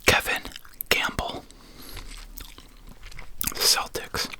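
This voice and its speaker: A video host whispering casually in an unvoiced ASMR style, with halting, conversational delivery while showing trading cards. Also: bright, forceful tone. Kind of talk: whispered ASMR card-opening chat.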